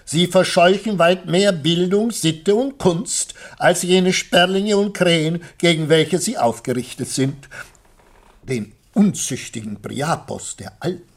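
An actor playing a pompous, self-important senator who fancies himself an artist, declaiming floridly in a German radio play. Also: male voice, on the high side, husky, exaggerated voice. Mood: impassioned declaiming.

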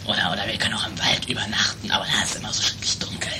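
A woman playing a shady, jittery male tramp and jailbreaker with a hoarse, whispery voice in an improvised audio drama. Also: very deep voice, steady tone, very fast. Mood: furtive. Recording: noisy home-made recording.

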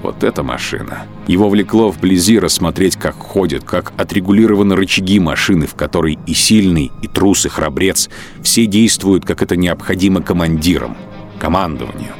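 Very deep-voiced man narrating over music. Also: moderate pace. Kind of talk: radio drama narration.

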